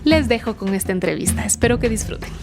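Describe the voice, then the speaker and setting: A woman's voice: fairly high pitch, expressive, fast talker, loud music behind the voice. Friendly woman podcast host. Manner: friendly, laughs often. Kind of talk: podcast intro and interview.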